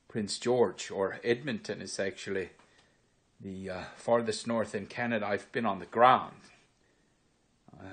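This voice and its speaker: A man of sixty with a Pennsylvania Dutch accent, vlogging in a rambling, unhurried way with many filler pauses, recorded on a handheld phone in his room. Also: lowish voice. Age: 60s. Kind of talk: casual walk-through monologue. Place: his own room indoors. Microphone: phone camera held by speaker.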